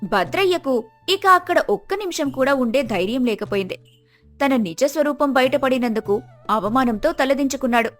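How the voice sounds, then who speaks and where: Woman storyteller, really high voice, scripted tale, music playing behind her. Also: expressive, normal speed, a bit bright.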